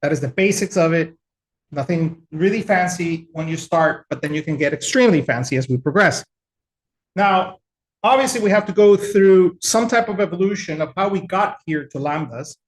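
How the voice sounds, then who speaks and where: A man from El Salvador giving a relaxed, conversational technical webinar with frequent fillers. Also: high-pitched, normal speed, reverberant, slight background noise, low-quality recording. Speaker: webinar presenter.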